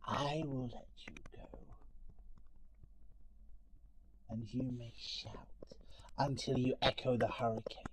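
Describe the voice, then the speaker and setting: Guy doing a bitter ugly monster out for revenge, slow and menacing, very theatrical audio story thing, noisy amateur recording. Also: medium pitch, fairly even intonation, natural voice. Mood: menacing, commanding. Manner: menacing, theatrical, deliberate, swinging between hiss and shout. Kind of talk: dramatic monologue in an audio story.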